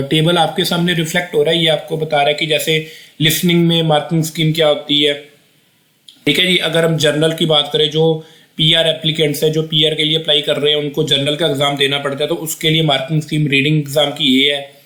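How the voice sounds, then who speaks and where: A man's voice: fairly high pitch, a bit bright. A young man giving an exam-prep video lesson in a chatty, repetitive way, often restarting sentences and checking in with the viewer. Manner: chatty, repeats himself, restarts sentences, frequent "theek hai ji". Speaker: IELTS tutor. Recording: echoey room.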